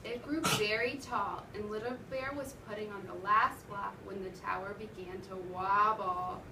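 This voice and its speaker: A woman's voice: mid-range voice, steady tone, unhurried. A woman teacher reading a story aloud to children, wondering aloud and prompting them as she goes. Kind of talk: interactive read-aloud to children. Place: classroom with children present. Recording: roomy, noisy classroom from far off.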